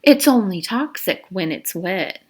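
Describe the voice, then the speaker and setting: A woman reading aloud the part of a coolly menacing camp warden in a storybook, deliberate and falsely polite. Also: mid-range voice, expressive, darkish tone, slightly rough. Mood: quietly menacing.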